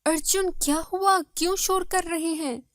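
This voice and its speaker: A woman's voice: very high-pitched, steady tone, unhurried, a bit bright, no room sound, clean studio sound, pitched above the performer's own voice. A woman voicing a vain, haughty young second wife, proud of her looks, in a dramatized story. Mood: alarmed.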